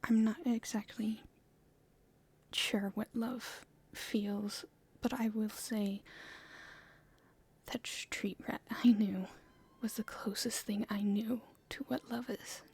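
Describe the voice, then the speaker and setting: A woman playing an earnest, hopeful young princess in a soft, halting, breathy whisper for an ASMR roleplay. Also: mid-range voice, flat delivery, exaggerated voice. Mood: tender, wistful. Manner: halting, soft-spoken, thinking aloud.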